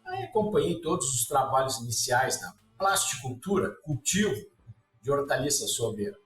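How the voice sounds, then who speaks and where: A man's voice: mid-range voice, normal speed. Gaúcho man in an echoey room. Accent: Gaúcho Portuguese. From southern Brazil. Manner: relaxed, detailed storytelling with lists and restarts. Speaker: guest researcher. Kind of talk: casual interview storytelling. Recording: echoey room, somewhat far from mic, faint music.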